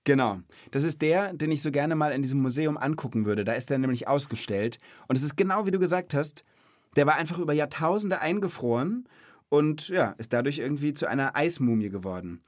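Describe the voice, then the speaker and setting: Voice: mid-range voice, expressive, brisk, dry recording. Dry close-miked podcast host. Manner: colloquial, explains simply, asks follow-up questions. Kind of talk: casual conversational interview for a children's podcast.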